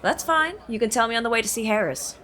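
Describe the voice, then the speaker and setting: A woman's voice: fairly high pitch, animated, fast, a bit bright. A woman playing a tough, sardonic female bounty hunter in an audio drama, blunt and teasing. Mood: coolly menacing.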